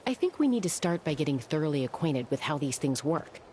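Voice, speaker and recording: Low-voiced, level-headed warrior woman. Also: very animated, dark tone, slight background noise. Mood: considered. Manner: measured, serious, reasoning. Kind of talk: anime video game voice acting.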